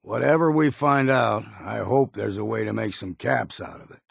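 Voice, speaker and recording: Very gravelly old backwoods hunter. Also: male voice, on the low side, expressive, moderate pace, dry recording, studio quality, heavily acted. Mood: wry, grim. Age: older. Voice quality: gravelly, raspy. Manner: folksy, dropped endings, laconic. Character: gruff old hard-drinking male hunter and fog guide. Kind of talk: video game character acting.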